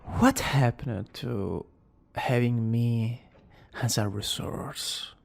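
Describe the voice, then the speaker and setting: Man acting a cocky, creaky-voiced young villain boyfriend. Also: medium pitch, drawn-out words. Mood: flustered, playful. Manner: smug, theatrical, teasing. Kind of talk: romantic ASMR roleplay acting.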